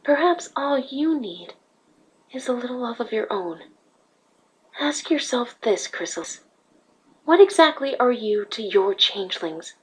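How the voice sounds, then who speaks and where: A woman playing a calm, regal pony princess in a fan audio drama, speaking patiently and in measured phrases. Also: fairly high pitch, husky, low-quality recording. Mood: gentle, earnest. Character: calm, regal, gentle pony princess, female, peace-seeking.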